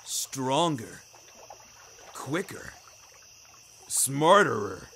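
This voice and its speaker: A man's voice: mid-range voice, sing-song, extremely slow, fairly bright tone, slight background noise, exaggerated voice. A man voicing an upbeat werewolf rocker in an audio story, with exuberant, slangy bro talk. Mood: proud, thrilled. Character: upbeat dude-talking young male werewolf rocker.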